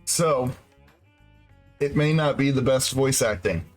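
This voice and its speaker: A man's voice: mid-range voice, takes it slowly, soft background music. A congested man chatting casually on his game stream, rambling, laughing and swearing freely. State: congested nose and throat. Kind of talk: casual gaming stream chat.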